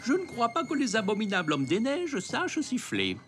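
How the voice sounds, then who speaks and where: A performer voicing a kindly, playful old zebra grandpa in a preschool cartoon, gentle and indulgent, with music behind. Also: mid-range voice, wildly swooping pitch, moderate pace. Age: older figure.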